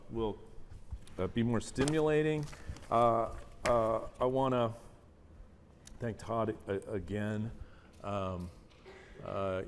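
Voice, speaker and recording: A low-voiced man opens his lecture as the guest speaker, soft-spoken and hesitant, with many fillers. His voice rings in a long auditorium reverb over faint room noise. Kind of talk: lecture opening.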